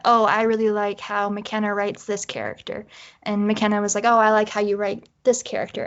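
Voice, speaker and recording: A woman talking casually as a writer being interviewed. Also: medium pitch. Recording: dull, band-limited audio.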